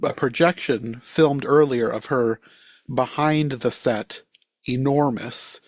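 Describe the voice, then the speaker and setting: A man's voice: mid-range voice, slow pace, no echo. A man talking casually as a podcast co-host, giving his thoughts on a show. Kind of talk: casual chat, review.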